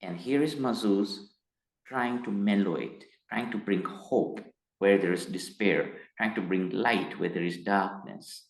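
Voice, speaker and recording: A middle-aged man from Tanzania, speaking as an interview guest in a measured, hesitant way that turns emphatic. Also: on the low side, creaky. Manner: measured, hesitant with frequent uh fillers, grows emphatic. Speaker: guest, company executive. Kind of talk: interview conversation. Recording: roomy echo.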